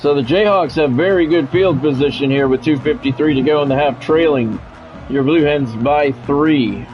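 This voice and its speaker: Male sports commentator, voice a touch high, radio-style calls with offhand asides, lo-fi sound. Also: moderate pace. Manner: radio-announcer phrasing with casual asides. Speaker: streamer doing play-by-play. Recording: dull, lo-fi audio with music behind.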